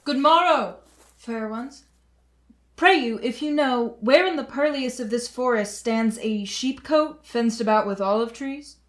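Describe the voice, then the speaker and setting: A woman acting a repentant, courtly nobleman in a Shakespearean radio play, telling his tale in a dramatic, deliberate way. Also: a little high, lightly acted. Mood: courteous. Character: repentant courtly nobleman, elder brother, solemn storyteller.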